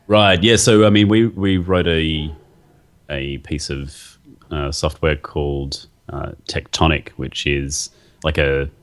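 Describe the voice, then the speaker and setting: A man's voice: extremely low pitch, steady tone, slow. A man interviewed as a guest artist, talking casually and hesitantly, with many fillers and restarts.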